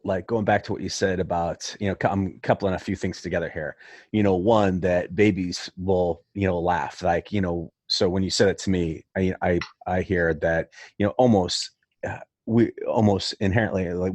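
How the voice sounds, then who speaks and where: A man's voice: low-pitched, normal speed, a little mellow, no room sound. A man from the United States interviewing casually, phrasing things loosely as he thinks aloud. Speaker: podcast interviewer. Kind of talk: casual conversation.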